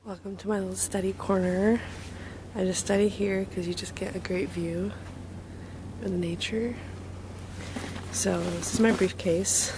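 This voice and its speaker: A young woman, a university student, chatting casually in a vlog with a slightly hoarse voice, upbeat and rambling, filled with ums. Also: medium pitch, steady tone, unhurried, pauses often, noisy background. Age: young adult. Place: outdoors on a campus, then an indoor study corner.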